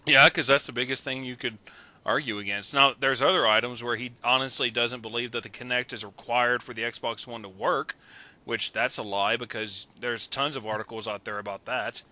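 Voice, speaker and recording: A man with a strikingly bright, forceful voice and middle pitch chats on a headset over an internet call. He sounds casual and opinionated, as if talking with friends. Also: fairly even intonation, moderate pace, dry recording. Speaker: participant in a recorded online voice chat. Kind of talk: casual chat. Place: recorded Skype call. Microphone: headset in an online voice call.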